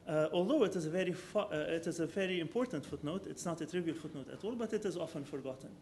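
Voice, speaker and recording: A man, a professor of architecture, giving an academic lecture with slides, speaking in a measured way that thinks aloud and often corrects himself. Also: fairly high pitch, expressive, darkish tone, a little hiss or hum.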